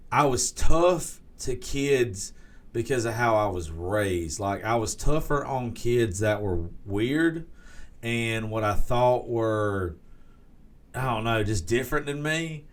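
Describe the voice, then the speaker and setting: A Southern man in his early thirties speaks frankly, with a crude, joking edge. His talk is loose and casual. His voice sits at an ordinary middle pitch for a man. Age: 30s. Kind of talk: casual chat.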